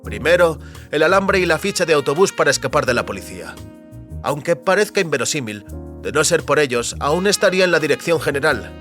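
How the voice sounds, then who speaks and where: An actor playing a wary, determined man trapped between powerful forces, speaking deliberately in an audio drama. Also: a little high, fairly bright tone.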